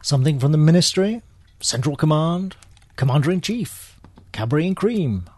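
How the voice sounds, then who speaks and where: An actor playing an urbane, wry British spy in a spoof radio drama, with a droll, understated British delivery. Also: male voice, a little high, animated, normal speed, darkish tone, a little hiss or hum, natural voice. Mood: wry, composed. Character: urbane, wry male British spy, unflappable gentleman agent.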